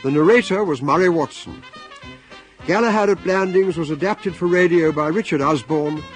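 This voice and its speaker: A man's voice: a little high, moderate pace, soft background music, natural voice. A man with a British accent reading scripted narration and announcements for a radio drama.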